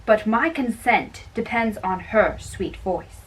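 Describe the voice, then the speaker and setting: A woman doing an amateur dramatic reading of a play, haltingly, often repeating and correcting her lines. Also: medium pitch, lively intonation, husky, distant mic, poor audio.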